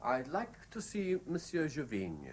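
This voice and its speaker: A man playing an earnest, troubled lawyer in a radio play, speaking in a measured, careful way. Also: a little high, wildly swooping pitch, noisy background. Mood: guarded. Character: earnest, troubled male lawyer narrating his surveillance case. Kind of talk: radio play acting with diary-like narration. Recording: 1970s radio drama recording.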